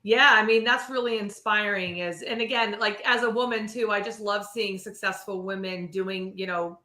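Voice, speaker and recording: A woman co-hosting an interview show, responding with quick enthusiastic agreement. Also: mid-range voice, bright, forceful tone. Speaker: co-host. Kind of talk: interview conversation. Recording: echoey room.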